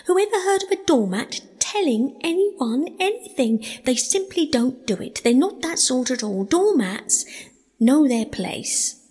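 A woman's voice: sits high, big pitch swings, normal speed, slightly rough, in a large hall, pitched above the performer's own voice, heavily acted. A woman voicing a sulky, literal-minded male mole from a children's storybook, complaining in a pettish, miserable manner. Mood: sarcastic, pettish.

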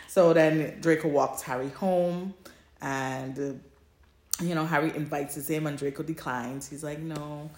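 Female podcast co-host, notably low register, casual storytelling chat, roomy echoing sound. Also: a little mellow. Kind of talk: casual chat summarizing fan fiction. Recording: echoey room.

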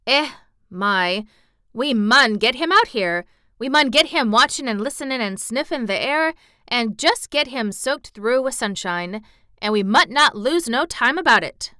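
A woman's voice: fairly high pitch, lively intonation, a little slow, sharp tone, clean tone, no echo, clean studio sound, pitched above the performer's own voice. A woman voicing a cheerful young Yorkshire farm boy who speaks in broad dialect. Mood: earnest. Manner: broad dialect, earnest and coaxing.

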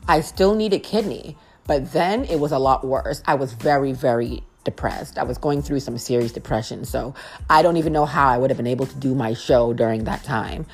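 A young woman hosting her own podcast, talking casually and earnestly, with a naturally low-toned voice and a habit of repeating phrases for emphasis. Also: normal speed, slightly rough, soft background music. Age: young adult. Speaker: podcast host. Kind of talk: casual heartfelt monologue.

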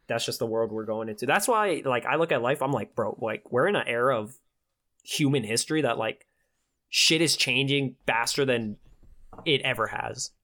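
A man's voice: medium pitch, lively intonation, normal speed, bright, forceful tone. A twenty-year-old man chatting casually in a slangy, earnest way. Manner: slangy, earnest, with frequent "like" and "bro". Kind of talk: casual chat.

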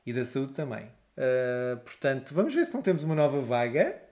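A man's voice: medium pitch, very animated, fairly quick, darkish tone. A man co-hosting a podcast in casual, teasing chat. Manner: teasing, laughs often. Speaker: podcast co-host.

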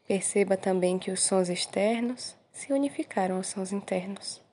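A Brazilian woman gently guiding a meditation in a soothing, unhurried way, with short encouraging phrases. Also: mid-range voice. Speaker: meditation guide. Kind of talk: guided meditation.

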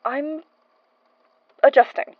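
A woman's voice: sits high, steady tone, slow, no echo, dull audio. A woman playing a nervous, wryly curious modern archivist with a hesitant manner, in a naturalistic audio drama. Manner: hesitant, slightly awkward.

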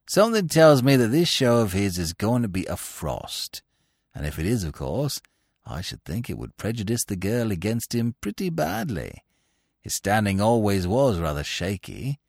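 A man reading an audiobook and voicing a sly, scheming young male gambler who talks in a low, smooth, insinuating way. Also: very animated, normal speed, darkish tone, no room sound, studio quality, exaggerated voice.

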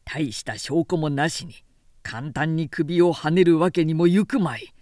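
A woman's voice: low-pitched, a little slow, darkish tone, no room sound, clean studio sound. A woman voicing a lordly male clan commander in a storybook, haughty and probing in archaic speech. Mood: doubtful, dismissive.

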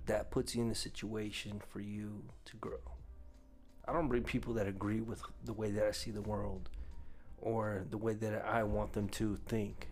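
Podcast host, young man, lowish voice, blunt and profane, faint music behind. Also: level tone, moderate pace, a little mellow. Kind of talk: casual podcast chat.

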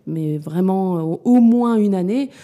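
A woman around forty talking about motherhood and work in an interview, reflective and hesitant, often pausing and restarting. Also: mid-range voice, lively intonation, dark tone. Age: 40s. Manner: hesitant, many fillers and restarts. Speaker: interview guest, mother and business owner.

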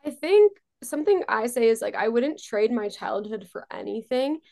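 A woman's voice: a little high, very animated, normal speed, gravelly, warm tone. A woman in her twenties, raised in New York, talking casually as an interview guest, chatty and full of fillers. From born in Israel, raised on Long Island, New York. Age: 20s. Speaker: guest entrepreneur.

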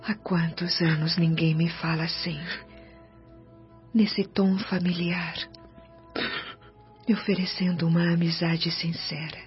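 A frail, suffering woman spirit in a Brazilian radio drama. She speaks very slowly and tenderly, breathy and often whispering. The recording is dull, with soft music behind her. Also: on the low side, level tone. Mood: grateful, tender. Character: suffering, disfigured woman spirit, humble and grateful.

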